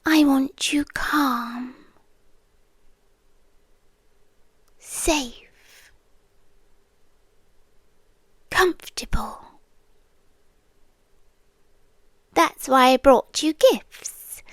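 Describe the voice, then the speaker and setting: A British woman playing a possessive, adoring vampire girlfriend in an ASMR roleplay, speaking softly and breathily and often slipping into a whisper. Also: sits high, slow pace, no echo, clean studio sound. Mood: soothing, teasing. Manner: soft, breathy, often dropping into whispers, coaxing. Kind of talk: ASMR roleplay, slow intimate delivery with whispering.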